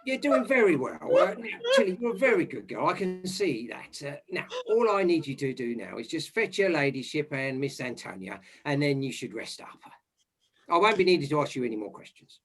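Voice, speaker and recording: A man's voice: a little high, sing-song, a little fast, a bit bright. A man playing a bumbling, self-important police inspector, rambling and condescending, in a comic murder mystery. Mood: patronising.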